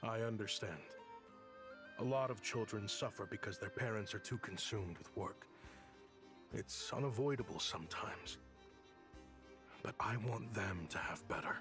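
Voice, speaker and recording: An actor playing a gentle, earnest, protective father figure in a game, calm and sincere. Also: on the low side, a little slow, pauses often, music in the background, natural voice. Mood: reflective. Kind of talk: game voice acting.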